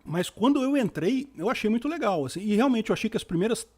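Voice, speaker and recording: A man's voice: sits high, lively intonation, fast talker, a little mellow. A man in his fifties from Brazil with a Rio de Janeiro accent, chatting casually and answering viewer questions on a live stream, rambling with many restarts and slangy asides. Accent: Rio de Janeiro Portuguese. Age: 50s. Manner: rambling with frequent restarts and fillers; informal, uses slang and mild swearing.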